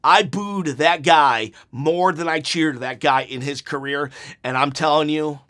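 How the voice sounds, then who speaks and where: A man hosting a wrestling channel, giving an unedited review right after a show, excitable and rambling, his voice going hoarse. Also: on the high side, measured pace. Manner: excitable, rambling, repeats himself.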